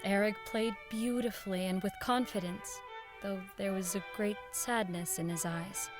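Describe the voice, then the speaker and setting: A woman playing an uneasy radio producer who recalls eerie events in measured, dramatic audio drama narration. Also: mid-range voice, steady tone, natural voice. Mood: wistful, uneasy. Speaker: narrator and radio show director. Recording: violin music behind the voice.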